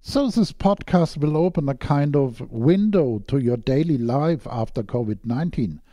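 Guy interviewing on a podcast, warm soft-edged voice, really dry recording, sounds scripted. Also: mid-range voice, expressive, moderate pace, dry recording.

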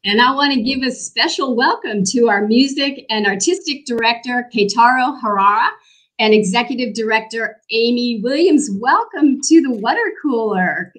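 A woman's voice: a little high, normal speed, fairly bright tone. Woman hosting a live video-call show. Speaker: host.

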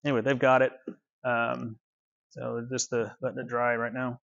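A man in his fifties giving a casual walking tour of his car restoration workshop, relaxed and rambling with many fillers, recorded on a small clip-on mic. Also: mid-range voice, moderate pace, creaky, highs cut off.

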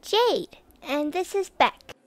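A woman's voice: very high voice, big pitch swings, slow pace, heavily acted. A woman voicing a small, earnest little girl in a cartoon dub, childlike and blunt. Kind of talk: animated web comic dub acting.

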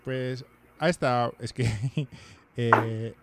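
Male host in his thirties, halting and hesitant, close mic, small home room. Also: mid-range voice, steady tone, very noisy. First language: Basque. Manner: hesitant, many fillers and restarts. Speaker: podcast host. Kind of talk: casual video-call chat. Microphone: headphones and studio mic.

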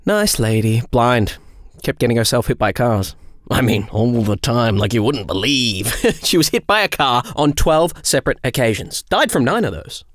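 A man's voice: mid-range voice, expressive, normal speed, no echo, clean studio sound, lightly acted. An actor playing a jovial, flippant young male angel in an audio drama, theatrical and chatty. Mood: chatty, breezy. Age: young adult.

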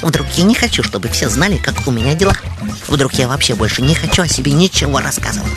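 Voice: medium pitch, animated, quick pace, music in the background, natural voice. An actor voicing a touchy, anxious, bookish young male hedgehog in a cartoon, prim and fussily articulate. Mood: guarded. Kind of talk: cartoon voice acting.

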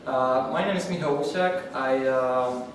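A young man from Poland gives a technical talk to a room of developers, stopping and restarting often and filling gaps with fillers. His voice sits at an ordinary pitch for a man. It was picked up from well away by a distant mic in a very echoey room with steady noise behind. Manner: halting, many fillers and restarts. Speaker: conference speaker. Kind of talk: technical talk at a user group. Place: meeting room with audience.

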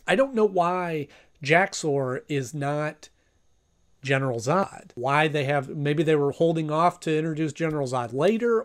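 A man in his thirties giving a casual review to camera as host of a video. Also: on the high side. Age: 30s. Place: small home room, indoors.

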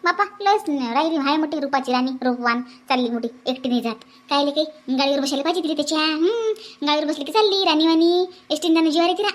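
A woman's voice: high voice, fast, hardly any pauses, close to an everyday voice. A woman voicing a complaining, gossipy village woman in a comedy cartoon, with a Varhadi Marathi accent and repeated exclamations. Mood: scornful, grumbling. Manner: repeats phrases, exclaims often. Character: complaining, gossipy village mother-in-law and her grumbling married daughter.

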